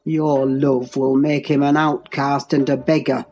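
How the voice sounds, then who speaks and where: Woman acting as brutal older master, low-pitched, harsh, thundering, commanding, slow menace, storybook villain. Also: darkish tone, lo-fi sound, faint music behind. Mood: coldly menacing. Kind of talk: dramatized audiobook reading.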